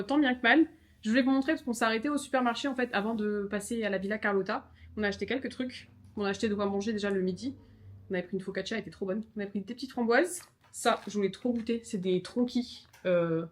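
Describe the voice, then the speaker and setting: A woman's voice: on the high side, fairly bright tone. A young woman from France vlogging casually to camera, chatty and bubbly with many fillers, filmed on a handheld camera. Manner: chatty and bubbly, gushing "trop bon", many "euh". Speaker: travel vlogger. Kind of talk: casual travel vlog to camera. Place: outdoors and in a hotel room by a lake.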